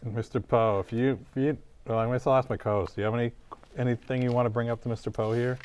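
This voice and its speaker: A man's voice: medium pitch, flat delivery, fast talker, a little mellow, clean tone. A man hosting an improvised comedy interview in an informal, joking, rambling way. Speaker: host.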